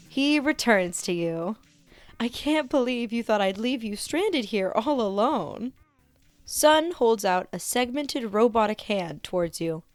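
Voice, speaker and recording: A woman reading fan fiction aloud as an expressive narrator. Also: a little high, smooth, no room sound, clean studio sound.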